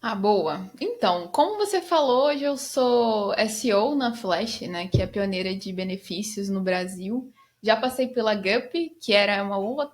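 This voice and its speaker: A woman from Bahia, a guest answering warmly and casually in a podcast interview. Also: on the high side. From Bahia, Brazil. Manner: casual, enthusiastic, many fillers. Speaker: guest, SEO specialist.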